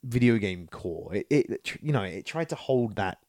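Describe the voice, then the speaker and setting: A Scottish man co-hosting a podcast, chatting casually and playfully about films and games in an informal, excitable way. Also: fairly low pitch, moderate pace, dry recording, studio quality. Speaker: podcast co-host.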